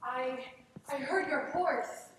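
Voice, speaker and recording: A very echoey, slightly noisy live theatre recording of a woman acting as a devoted, anxious young country girl. Her voice is high, and she pleads earnestly in a stage play. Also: slow, far from the mic. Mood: hesitant, worried. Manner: earnest, pleading, Spanish words mixed in. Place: theatre stage, far camera.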